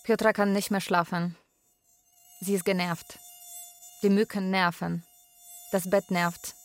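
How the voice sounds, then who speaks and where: A woman's voice: medium pitch, flat delivery, slow pace, dry recording. A woman narrating a scripted radio drama in terse, short sentences. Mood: terse, irritated. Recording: faint music behind the voice.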